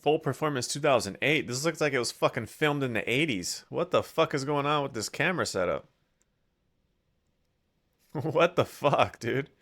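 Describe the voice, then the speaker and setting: A man's voice: medium pitch, lively intonation, normal speed, fairly bright tone. A man casually commenting over a comedy video, with offhand asides and swearing. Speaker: reaction video host.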